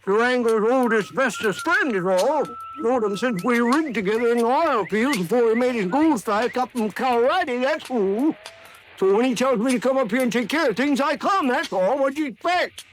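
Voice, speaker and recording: An actor playing a cantankerous, folksy old cowboy with a drawling rural Western American accent in an old-time radio drama. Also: male voice, very high-pitched, lively intonation, moderate pace. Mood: grudging, folksy. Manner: drawling rustic talk, repeats questions back. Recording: noisy vintage radio recording.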